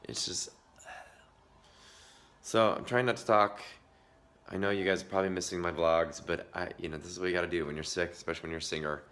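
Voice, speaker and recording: A man with a cold talking casually to the camera, hoarse and half-whispering at times to spare his voice. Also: deep voice, steady tone, a little fast, pauses often, a bit bright. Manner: chatty, confiding, partly whispered to save the voice. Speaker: vlogger. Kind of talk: casual vlog talk. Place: outdoors in the woods.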